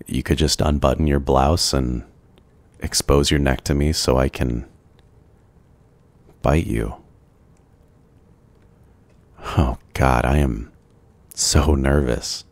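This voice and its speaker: A man acts out a brooding vampire with an extremely low voice, slow and hesitant. His phrasing is courtly and gentle, a reluctant but devoted lover in an ASMR roleplay. The sound is dry and close, with no room around it. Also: no room sound, heavily acted. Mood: hesitant, nervous.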